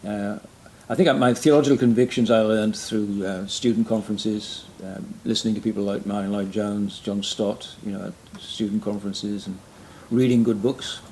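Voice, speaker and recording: A man's voice: on the low side, steady tone, normal speed, few pauses, a little hiss or hum, poor audio. An older man in his sixties from Wales with a Welsh accent, a retired pastor recalling his ministry in an interview. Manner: frequent backchannel mm and yeah, soft self-deprecating laughs. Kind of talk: reflective interview conversation.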